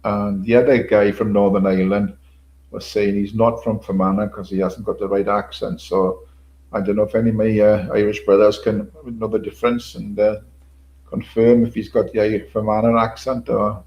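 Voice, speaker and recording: A man's voice: on the low side, little pitch movement, moderate pace, darkish tone, muffled recording. An older man hosting a casual livestream, rambling, repeating himself, swearing casually and chuckling to his viewers. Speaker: livestream host. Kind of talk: casual solo livestream chat.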